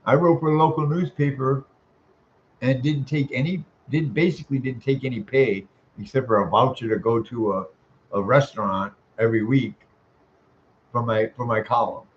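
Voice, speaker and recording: An older man with a mid-pitched voice is airing a complaint in informal speech. He sounds aggrieved and emphatic, rambling and circling back over his words. The sound is dull and lo-fi, with faint noise behind him. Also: steady tone, moderate pace. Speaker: caller, tenant making a complaint. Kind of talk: informal phone complaint, venting.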